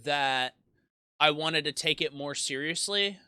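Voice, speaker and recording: A young man in his twenties talks through his memories in a loose, rambling way, often restarting his sentences and poking fun at himself. His voice is bright and forward, and the sound is crisp and smooth. The recording is dry and close. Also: fairly high pitch, measured pace, dry recording. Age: 20s. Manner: self-deprecating. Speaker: YouTube gaming creator. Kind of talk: casual reflective monologue.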